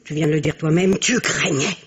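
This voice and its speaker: A haughty old French matriarch in a radio drama. Her voice is husky and rough-edged, and she speaks in an imperious, theatrical way, cutting and domineering. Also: low-pitched, a little fast. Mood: scornful. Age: older.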